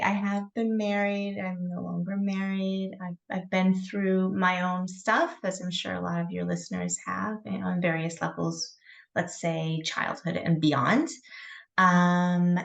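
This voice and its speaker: A middle-aged woman from Michigan, a coach and podcast guest, talking thoughtfully with many pauses and fillers. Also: fairly low pitch, gravelly, dull audio. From Michigan, USA. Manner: thoughtful, hesitant, with fillers and light laughs. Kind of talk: podcast interview.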